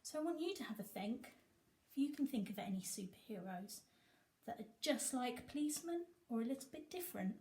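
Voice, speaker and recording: A woman's voice: fairly high pitch, expressive, fairly quick, mellow. A woman reading a picture book expressively to children, emphatic and sing-song on rhymes.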